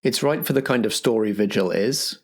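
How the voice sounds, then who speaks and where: A man's voice: lowish voice, a little fast, slightly rough. A man, a game master and compere speaking in a chatty, informal hosting style.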